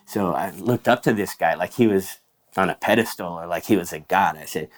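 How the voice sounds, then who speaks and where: A man's voice: sits low, a little fast. A man in his fifties, a guest machinist, telling stories in a casual interview with eager, chatty repetition. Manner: eager, chatty, repeats "yeah" often.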